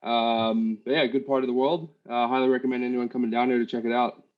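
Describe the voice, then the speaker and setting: A man born in Australia who picked up an American accent, talking easily on a call and often pausing with ums while he describes his trade work. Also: mid-range voice, highs cut off. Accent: American-tinged Australian. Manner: relaxed, hesitant with many ums and uhs. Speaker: guest, machine demonstrator and trainer. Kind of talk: casual interview over a call.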